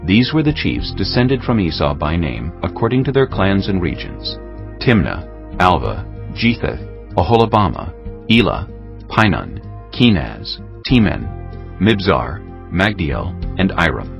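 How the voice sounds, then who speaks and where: A man narrating a dramatized scripture audiobook in a solemn, measured storytelling manner. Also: sits low, very animated, gravelly. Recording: dull lo-fi, music bed.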